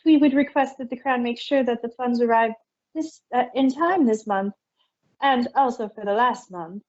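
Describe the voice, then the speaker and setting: A woman's voice: fairly high pitch, a little mellow, dull audio, close to an everyday voice. A woman playing a polite, hesitant mare who petitions royalty formally in an amateur fan fiction reading. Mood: politely concerned. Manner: formal, halting, deferential.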